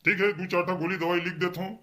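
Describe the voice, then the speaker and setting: A man's voice: high voice, level tone, fast, bright, forceful tone. A man voicing a casual, bumbling village quack doctor in a comedy cartoon, chatty and repeating his reassurances with a Chhattisgarhi accent. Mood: breezy. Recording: noisy track with clicks.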